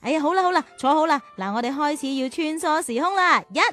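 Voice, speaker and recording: A woman playing a caring, gently chiding big-sister teacher who hosts a children's radio drama. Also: sits high, animated, fast. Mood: coaxing, playful. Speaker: host and teacher.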